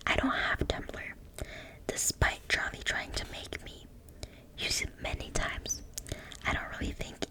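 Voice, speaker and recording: French-accented woman, native French speaker, reading a book aloud in a steady, unvoiced ASMR whisper; trips over words and apologizes. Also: poor audio. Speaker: ASMR creator.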